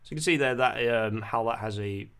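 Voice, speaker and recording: A man explaining music software in an unscripted tutorial, hesitant and pausing mid-sentence as he goes. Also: mid-range voice. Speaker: music producer giving a tutorial. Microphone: voice-over on a screen recording.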